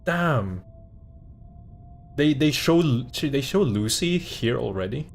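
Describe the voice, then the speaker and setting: A man's voice: medium pitch, expressive, slow pace, vocal fry. A young man casually commenting while playing a game on stream, chatty and laughing often.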